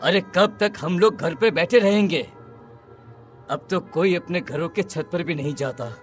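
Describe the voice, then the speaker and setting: A man playing a confident, boastful village farmer in an animated moral story. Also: high-pitched, measured pace, faint music behind. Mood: resolute.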